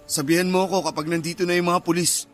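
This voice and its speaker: A man playing an emotional, guilt-ridden father who is quick to anger, in a radio drama. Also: high-pitched, level tone, a bit bright, soft background music. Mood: angry, insistent.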